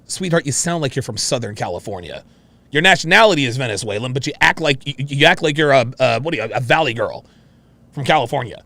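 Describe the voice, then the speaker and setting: A man's voice: mid-range voice, lively intonation, fast talker, creaky, pushed and bright, no room sound. A man hosting a reaction show, commenting on a clip in a brash, mocking, profane and repetitive manner.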